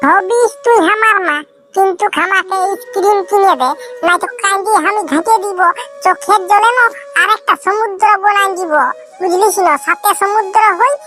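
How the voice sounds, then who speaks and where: Bratty young boy, very high voice, insistent whining, Purulia Bengali accent, cartoon comedy, music underneath. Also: fairly even intonation, moderate pace, pushed and bright. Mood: tearful, threatening. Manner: wheedling, insistent, repetitive. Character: whiny, demanding young boy begging and threatening tantrums.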